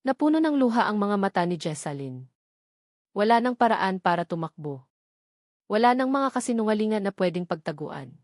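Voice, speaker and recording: A woman's voice: mid-range voice, a little mellow, no room sound. Woman narrating drama, dry close sound. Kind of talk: dramatic scripted story narration.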